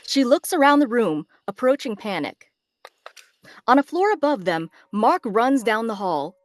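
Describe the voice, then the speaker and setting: A woman's voice: on the high side, very animated, measured pace, dry recording. A woman narrator reading screenplay stage directions as dramatic scripted narration. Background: faint background music.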